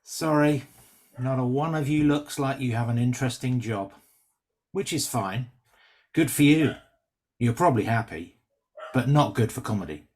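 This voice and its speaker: A man playing a brash, quick-witted stand-up comedian with sardonic, teasing banter in a script reading. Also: mid-range voice, a little mellow, natural voice. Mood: unimpressed.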